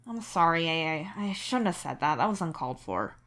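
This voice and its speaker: A woman's voice: low voice, expressive, a little fast, a bit bright. A woman voicing a sleep-deprived young man, stubborn and devoted, with a tired, reassuring manner. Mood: apologetic.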